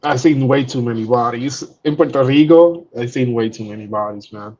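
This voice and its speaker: A man's voice: medium pitch, moderate pace, a little mellow, highs cut off. A man chatting casually on a live stream, laid-back, often repeating and restarting his words.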